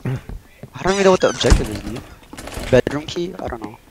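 A man's voice: medium pitch, expressive, quick pace, background noise. A young man with a voice strained from screaming, panicking and asking what to do while playing a horror game with friends. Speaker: co-op game player. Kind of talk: casual co-op gaming chat.

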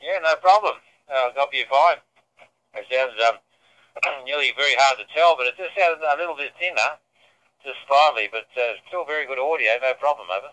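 A man's voice: medium pitch, moderate pace, a bit bright. A man heard over a two-way radio, chatting informally as an amateur radio operator. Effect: radio transmission. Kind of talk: unscripted ham radio exchange. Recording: dull band-limited two-way radio audio.

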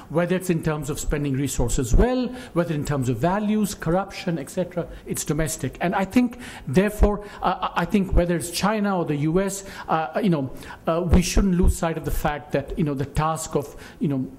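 A middle-aged man speaks on a conference panel in a fairly high voice. He is emphatic and halting, repeating words as he goes. The recording has clear background noise. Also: a little mellow. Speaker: panelist, economist. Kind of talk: panel discussion remarks. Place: indoor conference panel stage. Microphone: gooseneck table microphone.

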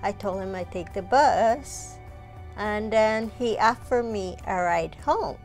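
Guyanese-accented woman, 40s, unhurried interview testimonial, faint music underneath. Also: medium pitch. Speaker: client sharing personal story. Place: small furnished living room indoors. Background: soft music.

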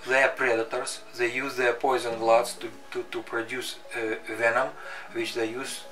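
A middle-aged man explaining his specialist subject in an interview, with halting, hesitant phrasing. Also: mid-range voice, flat delivery, pushed and bright. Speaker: museum curator of entomology, spider specialist. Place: book-lined office, indoors. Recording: echoey room, distant mic, faint music.

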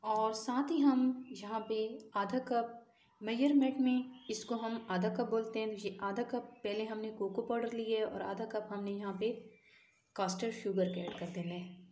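Woman talking thru a recipe at home, calm and patient, bit of room echo. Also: fairly high pitch, measured pace, slight background noise. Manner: patient step-by-step instruction. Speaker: cooking channel host. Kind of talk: home cooking tutorial voice-over. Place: home kitchen.

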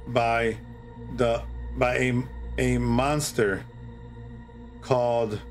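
A middle-aged man hosting a livestream, chatting casually and reading a horror story in a drawn-out, theatrical way with pauses. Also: medium pitch. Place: indoor streaming setup. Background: music.

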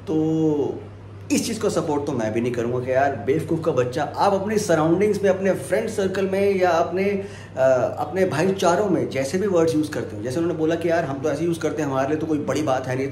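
A young man with a sore throat chats casually to his viewers, his voice sitting a bit high for a man. The handheld camera also catches a faint hiss of background noise. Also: a little mellow. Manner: chatty, addresses viewers directly, mixes English words in. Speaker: vlogger. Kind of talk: casual vlog chat to viewers. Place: home and hotel, indoors.

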